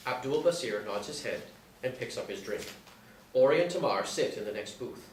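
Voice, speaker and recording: A man's voice: medium pitch, lively intonation, moderate pace. A man narrating, reading out the action lines of a screenplay in a scripted style. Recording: echoey room, distant mic, faint noise.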